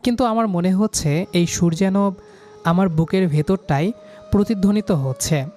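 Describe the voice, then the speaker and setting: A man's voice: high voice, moderate pace, mellow, soft background music. A man narrating a scripted love story in the first person, in an emotive, dramatic manner.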